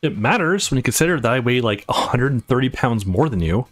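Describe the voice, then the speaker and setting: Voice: mid-range voice, lively intonation, a little fast, no room sound, soft background music, lightly acted. A let's player voicing a gracious, muscular champion woman in a visual novel, politely giving praise.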